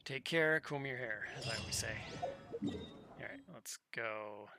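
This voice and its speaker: A man's voice: medium pitch, a little slow, over music. A man livestreaming a game, chatting casually as he comments on the play. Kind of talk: casual chat commentary over gameplay. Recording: noisy stream with clicks.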